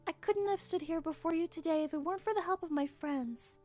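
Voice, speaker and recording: Casting: actress for gentle cartoon pony queen, very high, soft, earnest, music underneath. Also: a little fast. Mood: solemn gratitude. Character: gentle, kind, humble young pony queen. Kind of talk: animated fan drama dialogue.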